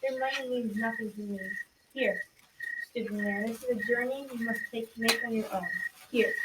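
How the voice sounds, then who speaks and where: Through loud noise and at some distance from the mic comes a proud old woman of the woods played by a young woman. Her lines are halting and repetitive, full of cryptic radio-play menace, in a fairly high voice. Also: fairly even intonation, lightly acted. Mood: solemn warning. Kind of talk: amateur school radio drama acting.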